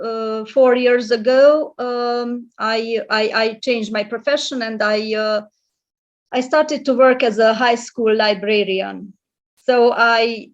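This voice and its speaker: A middle-aged woman from Romania, a librarian and English tutor, speaking as a guest in a casual video-call interview, hesitant with many fillers and restarts. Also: a little high. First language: Hungarian. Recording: muffled lo-fi audio.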